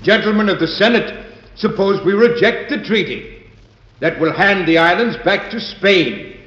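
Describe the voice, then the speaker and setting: Impassioned senator, higher-pitched declamatory oratory, muffled vintage radio drama sound. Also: male voice, measured pace, a bit bright. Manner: declamatory, emphatic, formal. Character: impassioned male senator orating for a treaty. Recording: echoey hall, muffled old radio recording.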